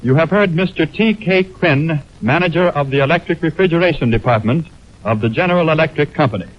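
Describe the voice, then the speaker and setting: A man's voice: fairly high pitch, brisk. A man announcing formally on a 1920s radio program. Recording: muffled 1929 radio aircheck on film.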